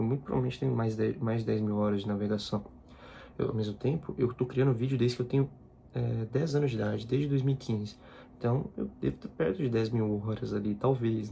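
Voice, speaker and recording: A young man in his twenties talks loosely to the camera as a content creator, looping back over his words in an informal, slangy way. His voice is gravelly and mellow rather than bright. A faint noise sits behind him. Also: medium pitch. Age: 20s. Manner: informal, repeats himself, slangy asides. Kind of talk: casual personal vlog talk.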